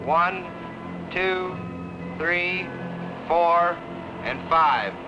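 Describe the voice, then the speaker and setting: A man's voice: sits high, drawn-out words, music in the background. An American man making a formal, clipped, procedural alert announcement as a military command post controller. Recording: dull lo-fi 1960s film sound.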